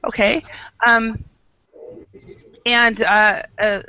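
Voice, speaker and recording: A woman's voice: a little high, flat delivery, extremely slow, pushed and bright, clean tone, dull audio. Upbeat American woman teaching on a call. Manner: upbeat, encouraging, frequent short affirmations. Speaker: English teacher. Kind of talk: online group English lesson.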